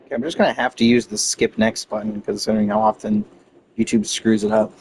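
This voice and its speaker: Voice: medium pitch, fairly even intonation, fast, darkish tone, a little hiss or hum, poor audio. A game streamer giving casual commentary during a playthrough.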